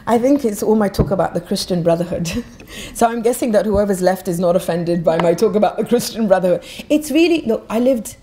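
Woman from Egypt, middle-aged, podium speaker, mellow voice, fervent and emphatic delivery. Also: medium pitch, expressive, a little fast. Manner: passionate, emphatic, repeats words when restarting. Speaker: guest speaker. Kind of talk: public talk at a podium. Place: auditorium stage. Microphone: podium mic.

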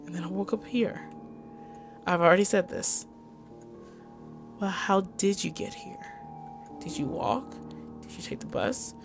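A woman's voice: medium pitch, unhurried, creaky, long pauses, soft background music. A woman gaming co-host with a theatrical, emotive delivery, acting out visual novel dialogue.

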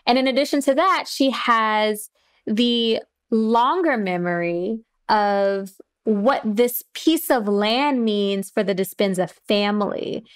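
A woman speaking as a playwright guest in a reflective discussion, with deliberate, thoughtful phrasing and pauses. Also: fairly high pitch, clean tone.

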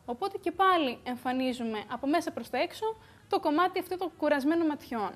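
A young woman, a beauty expert, explaining calmly in a TV studio on a lapel mic, with the odd "uh" filler. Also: sits high. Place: bright TV studio.

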